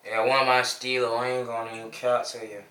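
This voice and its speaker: A man's voice: medium pitch, flat delivery, fast talker, echoey room, rough recording. A man in his twenties with a Midwestern American accent, chatting casually and joking with strangers on a video chat app. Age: 20s. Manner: slangy, joking, sings and shouts at times.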